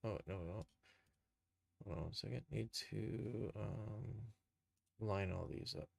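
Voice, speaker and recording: A man giving an informal live software tutorial, thinking aloud and muttering steps to himself as he works. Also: lowish voice, slow, vocal fry. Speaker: live streamer, game and cartoon developer.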